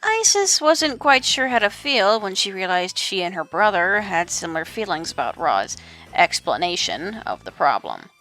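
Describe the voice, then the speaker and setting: A woman's voice: medium pitch, sing-song, normal speed, pushed and bright, soft background music. A woman giving dramatic scripted narration as the narrator of an audio drama.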